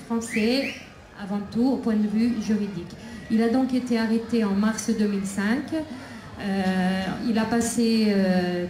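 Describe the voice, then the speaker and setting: A woman speaking at a festival debate about her imprisoned son, talking haltingly with many pauses and restarts. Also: medium pitch, mellow. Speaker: prisoner's mother, invited speaker. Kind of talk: public talk at a debate. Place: outdoor festival debate tent. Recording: echoey PA with crowd ambience.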